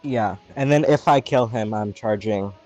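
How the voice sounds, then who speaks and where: A man's voice: on the low side, normal speed, a little mellow, muffled recording, faint music behind. A man talking casually as a player in a tabletop roleplaying game.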